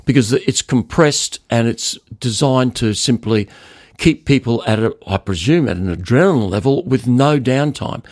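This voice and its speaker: A man's voice: medium pitch, animated, moderate pace, darkish tone, dry recording. An older man telling stories in a conversational interview, speaking emphatically and repeating words to stress them. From Australia. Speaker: loudspeaker designer, podcast guest.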